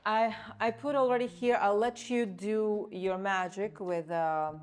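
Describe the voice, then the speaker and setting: A woman's voice: mid-range voice, steady tone, a little slow, over music. Chatty woman chef. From Russia. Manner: chatty, laughs often, tells stories. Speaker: chef and cooking show host. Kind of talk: casual chat while cooking. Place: kitchen.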